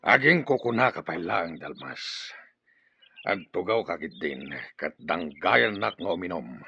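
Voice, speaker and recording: A harsh, forceful warlord chief for an Ilocano radio drama. He is aggressive and vengeful, with a raspy, creaking voice. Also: sits low, big pitch swings, a little slow, highs cut off, full character voice. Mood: gruff, scheming.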